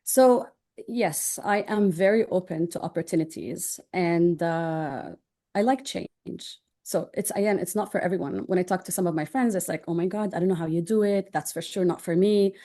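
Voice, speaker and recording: Mellow low-pitched woman in conversation. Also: moderate pace. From Jordan. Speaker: interview guest, online business owner. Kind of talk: conversational interview answers.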